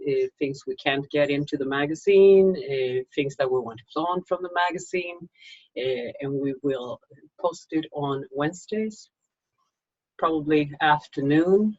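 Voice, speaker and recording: Female author-reader, Swedish accent. Husky voice, measured slow delivery, slightly dull lo-fi recording. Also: sits low. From Sweden. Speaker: magazine co-publisher and author reading her own story. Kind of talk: informal introduction, then slow literary story reading. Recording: dull lo-fi microphone sound.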